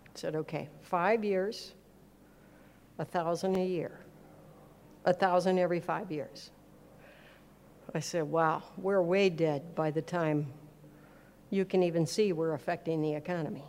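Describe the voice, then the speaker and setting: A woman's voice: on the low side, fairly even intonation, unhurried, pauses often, a little mellow, a little hiss or hum. A woman from America and Switzerland giving an informal teaching talk, emphatic, repeating words for effect and acting out bits of dialogue. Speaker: speaker giving a talk on faith and business.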